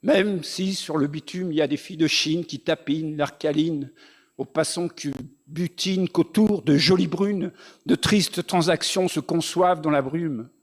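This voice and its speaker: Male slam poet, notably high voice, rhythmic lyrical recital style. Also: a little slow. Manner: lyrical, rhythmic delivery with refrains. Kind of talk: performed spoken-word slam poetry recital.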